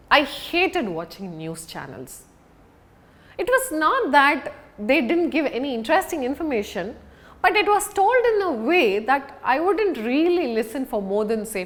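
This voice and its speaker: A woman giving a public speaking lesson as an instructor, speaking deliberately and emphatically with dramatic pauses. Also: high voice, fairly bright tone. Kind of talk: scripted lesson to an audience on video.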